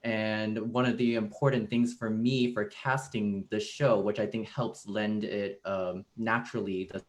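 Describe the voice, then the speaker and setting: A man speaking as a stage director in a casual post-show discussion, thoughtful and halting as he searches for words. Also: fairly low pitch, steady tone.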